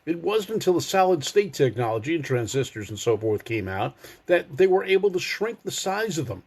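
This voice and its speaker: A man's voice: a little high, sing-song, fairly quick, darkish tone, husky. An older man hosting a car enthusiast show, talking casually and explaining things in a folksy way with wry asides. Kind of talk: casual informative monologue to a live audience online.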